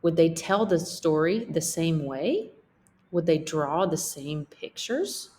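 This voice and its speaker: Woman reading aloud to children, unhurried and expressive. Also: low-pitched. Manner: explains in simple terms, checks in with questions. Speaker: teacher reading a picture book aloud. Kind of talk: read-aloud lesson for children.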